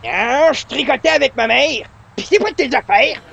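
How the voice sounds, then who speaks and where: Cranky old grandpa, squawking very high, wildly swooping, Quebec French accent, muffled recording, radio comedy. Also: lively intonation, normal speed, creaky, a bit bright, slight background noise, exaggerated voice. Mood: irritated. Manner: grumbling, colloquial, exasperated. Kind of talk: 1940s-style radio comedy acting.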